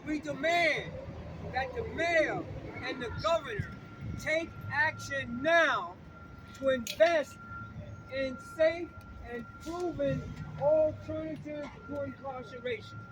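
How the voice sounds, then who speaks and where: A man's voice: extremely high pitch, slow pace. An older man speaking at an outdoor protest rally, emphatic and repeating his lines for the crowd to echo. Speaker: rally speaker and campaign co-director. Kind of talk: impassioned protest rally speech. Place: outdoors on a city street. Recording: distant mic with loud street traffic.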